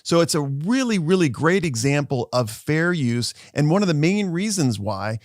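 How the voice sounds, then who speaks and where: A man's voice: fairly high pitch, big pitch swings, moderate pace, no echo. Upbeat man explaining, dry close sound. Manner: upbeat, explanatory, talks viewers through clips. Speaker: social media lawyer. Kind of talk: explainer video commentary.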